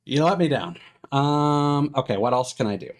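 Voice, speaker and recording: A man's voice: mid-range voice, animated, moderate pace. A man doing casual, rambling live stream commentary on a game, thinking aloud in hesitant fragments.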